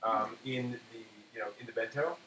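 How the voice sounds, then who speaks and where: A man's voice: medium pitch, normal speed, bright, forceful tone. A man hosting a casual cooking segment, thinking aloud in a hesitant way as he goes. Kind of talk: casual cooking show chat. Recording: echoey room with faint hiss.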